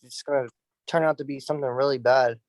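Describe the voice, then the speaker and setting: A man's voice: mid-range voice, fairly even intonation, quick pace, no echo. A man in casual chat, blunt and opinionated, with loose "like" fillers.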